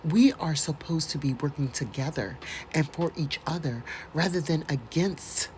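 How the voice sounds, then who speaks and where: Low-pitched American woman, theatrical and mocking. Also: moderate pace, a little mellow, slight background noise, poor audio. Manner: theatrical, mocking, breaks into exclamations and asides. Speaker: host doing a dramatic reading. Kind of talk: dramatic read-aloud of a letter with casual mocking commentary.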